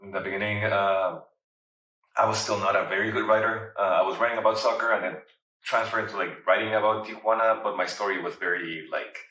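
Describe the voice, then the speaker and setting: A man's voice: low-pitched, sharp tone. A man in his thirties from Mexico, chatting casually in an interview with lots of fillers. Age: 30s. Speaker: photographer and writer. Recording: roomy, distant mic.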